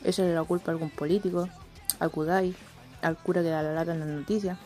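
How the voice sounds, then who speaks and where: A Chilean woman acting a brash, cynical teenage schoolgirl who gives slangy instructions in a radio play, with a creaky voice. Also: lowish voice, fairly even intonation, quick pace, mellow, soft background music, lightly acted. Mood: cynical, bossy. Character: brash teenage schoolgirl coaching a dramatic suicide.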